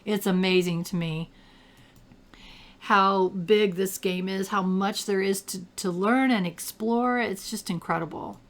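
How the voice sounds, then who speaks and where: Older woman with a gravelly voice. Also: mid-range voice, measured pace. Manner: chatty, thinks aloud, cheers at the game. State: rough throat from allergies. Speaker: gameplay commentator. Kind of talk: casual gameplay commentary.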